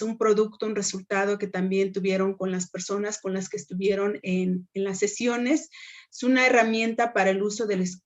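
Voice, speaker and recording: A woman's voice: mid-range voice, dull audio. A woman presenting on a panel and handing over to colleagues, with hesitant fillers. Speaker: cultural promoter.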